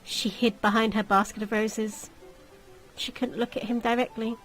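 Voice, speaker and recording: High-voiced woman narrating a story game. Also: flat delivery, moderate pace, fairly bright tone, faint music behind, low-quality recording. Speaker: gamer reading a visual novel aloud.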